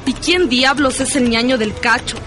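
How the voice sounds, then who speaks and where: A woman plays a cool young rock fan in a radio serial. Her high voice has an Ecuadorian accent, with brisk, bossy, slangy delivery. Music is clearly heard behind her. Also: fairly bright tone. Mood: breezy, curious. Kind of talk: naturalistic radio drama dialogue.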